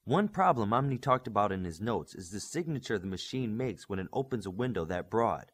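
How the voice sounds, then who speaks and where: A man's voice: lowish voice, expressive, brisk. A man voicing an earnest young superhero tech expert in an audiobook, explaining things methodically.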